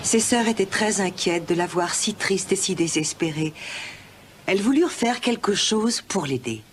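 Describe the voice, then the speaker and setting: A woman's voice: medium pitch, expressive, moderate pace, a little hiss or hum. A woman narrating a children's fairy tale from a script, as a storyteller. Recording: music behind.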